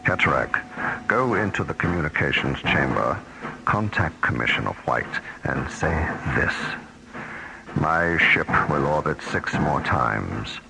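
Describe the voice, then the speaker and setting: Gravelly, grandiose mad space villain. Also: male voice, extremely low pitch, animated, full character voice, low-quality recording. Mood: cold threat. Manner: grandiose, menacing, theatrical, drawn-out. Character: megalomaniac, deranged space villain craving cosmic destruction. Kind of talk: science fiction radio drama acting. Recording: radio drama with loud music.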